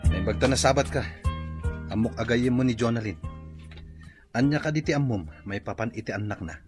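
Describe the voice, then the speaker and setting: A man's voice: mid-range voice, brisk, over music, lightly acted. An actor playing an anxious man in an Ilocano radio drama.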